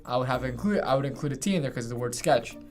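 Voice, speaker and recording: Young dude, animated and kinda in disbelief, faint music under it. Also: male voice, medium pitch, rapid-fire. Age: young adult. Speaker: college student reacting on a show. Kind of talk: casual reaction talk. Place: small indoor studio set.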